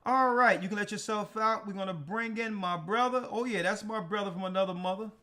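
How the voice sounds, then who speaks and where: A man hosting a church livestream as an elder, taking callers and giving brisk directions. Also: high voice, sharp tone. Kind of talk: livestream call-in talk.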